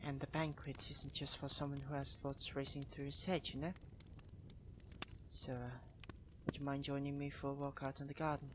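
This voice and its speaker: A man acting a proud but secretly tender young prince in an ASMR roleplay, posh and halting, teasing and easily put on the defensive. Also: mid-range voice, flat delivery, background noise, lightly acted. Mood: wistful, hesitant.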